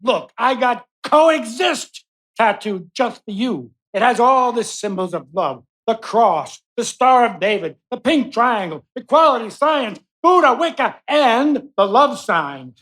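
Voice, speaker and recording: A desperate, defiant captive patient, played by an actor in a radio play. The delivery is slow and emphatic, protesting with animated swings of pitch. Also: poor audio. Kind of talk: live radio play acting.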